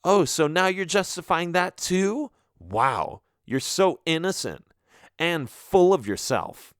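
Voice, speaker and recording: A man's voice: sits high, animated, slow, no room sound, studio quality, pitched above the performer's own voice. A man reading a story aloud, voicing a vain, scornful teenage girl who mocks and accuses in a raised voice. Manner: mocking, accusing.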